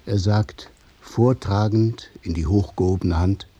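A man narrating a literary radio play in a measured, observational way. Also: on the low side, dark tone, breathy edge, dull audio. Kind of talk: scripted literary narration in present tense.

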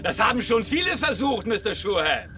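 A man's voice: high voice, very animated. A man playing a taunting, falsely polite Western villain with a gun in a German radio play, drawing out his mockery. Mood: mock politeness. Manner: mocking, drawn-out, falsely polite. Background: music.

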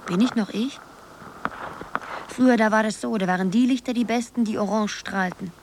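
An actress playing a dreamy, wistful young woman who muses haltingly in a poetic radio drama. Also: mid-range voice, a little mellow, slight background noise, natural voice. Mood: dreamy, musing. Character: Zoe, dreamy young woman drifting through a surreal world.

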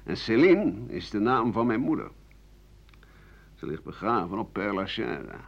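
A disgraced old writer in a radio drama, bitter and sardonic. His grumbling, theatrical delivery swings widely in pitch. The old recording sounds dull and muffled. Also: sing-song, measured pace, creaky, heavily acted. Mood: wry, bitter. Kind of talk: 1980 Dutch radio play monologue.

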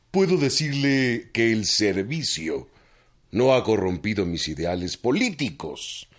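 Old mexican president, slow stately delivery, lowish pompous voice, radio drama. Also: male voice, slightly rough, full character voice. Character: elderly Mexican president, grave, self-assured, paternal autocrat. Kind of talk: historical radio drama acting.

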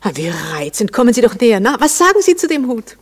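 A woman's voice: on the high side, very animated, quick pace. A teasing, worldly society lady with a tinge. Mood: gushing, mocking. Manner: mocking, coquettish, insistent. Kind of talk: classic German radio play acting.

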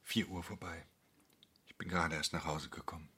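An actor playing a husband quietly probing his wife in an intimate radio drama, in a hushed, husky voice. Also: low voice, level tone, normal speed, slight background noise. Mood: hushed, uneasy.

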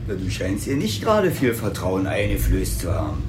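An actor playing a gossipy, teasing small-town police officer in a naturalistic radio drama, speaking colloquially. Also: male voice, sits low, huge pitch swings, moderate pace, music in the background. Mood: dry, sardonic.

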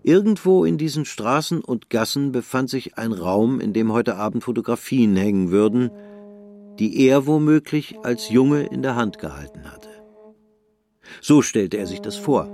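A man reading the narration of a radio play in a calm, measured way. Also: medium pitch. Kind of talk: scripted narration.

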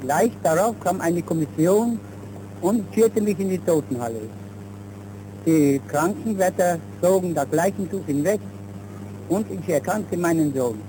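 A middle-aged man gives a formal account of his own experience. His voice is a little high. It comes from a humming, noisy 1930s newsreel recording. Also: unhurried, warm tone. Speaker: witness giving testimony. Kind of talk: formal personal testimony for a newsreel.

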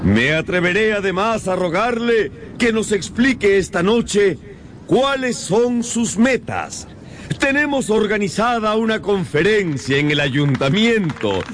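A pompous, deferential mayor, talks slowly in a high, swooping voice. The delivery is ceremonious radio drama over a noisy background. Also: male voice, expressive. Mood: ceremonious welcome. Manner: ceremonious, hesitant. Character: pompous, deferential small-town mayor welcoming scientists. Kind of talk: Spanish-language radio drama acting.

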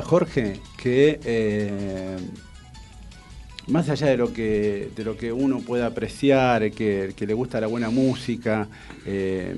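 A man's voice: fairly low pitch, warm tone. A man with an Argentine accent hosting a casual radio interview, rambling conversationally as he searches for his words. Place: radio studio.

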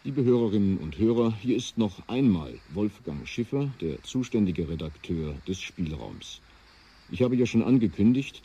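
A man presenting on radio as the programme's editor, giving a formal, calm, explanatory announcement. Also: fairly low pitch, slightly rough. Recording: dull, lo-fi radio broadcast.

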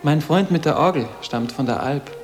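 A man's voice: on the high side, animated, normal speed, soft background music, lightly acted. Terse teenage schoolboy played by a man, reverberant. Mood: matter-of-fact. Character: defiant, aloof teenage boarding school boy. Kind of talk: German literary radio drama. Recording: long hall reverb.

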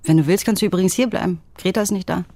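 An actress in a German radio drama playing a wry, frank young woman with a husky voice. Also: lowish voice, rapid-fire, dark tone, no echo. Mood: wry, relaxed. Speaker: actor in a radio play.

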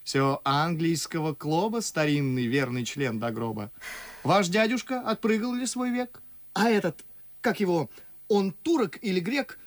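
Young lovestruck smart-mouth in an old verse radio play, voice jumping all over, super theatrical, very dry close sound. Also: male voice, high voice, unhurried, dry recording. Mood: mocking. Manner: theatrical, animated verse delivery. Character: young man in love, ardent, witty, mocking. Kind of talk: classic verse stage acting for radio. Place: radio studio.

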